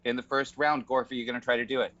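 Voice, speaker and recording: A man runs a tabletop game in a medium-pitched voice, narrating on the fly and laughing often. The audio is a little muffled, with faint music underneath. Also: little pitch movement, racing, pushed and bright. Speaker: game master.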